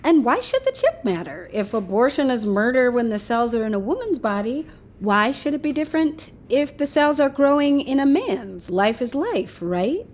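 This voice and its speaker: A woman's voice: high voice, very animated, normal speed, a little mellow. A woman playing a flippant, unrepentant lover in an audio drama, with a breezy, casual, needling manner. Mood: breezy, reasoning. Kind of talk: naturalistic audio drama acting.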